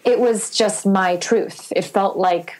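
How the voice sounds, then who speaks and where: A woman guest on a podcast interview talks candidly and thoughtfully, with many fillers, and grows animated at times. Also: medium pitch, takes it slowly, rough recording. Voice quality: sweet singing voice.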